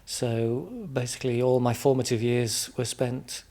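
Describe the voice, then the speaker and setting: A man from England with an English accent, answering interview questions informally in a reflective, halting way, often repeating words as he explains. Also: medium pitch, dark tone. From England, Midlands. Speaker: interview guest.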